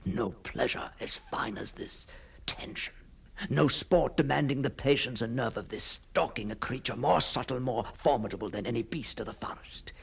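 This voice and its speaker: A man's voice: mid-range voice, normal speed, gravelly, breathy edge. An actor playing an obsessed, brooding man who stalks apparitions, telling his ghost story in a theatrical, intense monologue. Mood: secretive, tense. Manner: theatrical, hushed passages swelling into fervent declamation. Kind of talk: dramatic first-person ghost story monologue.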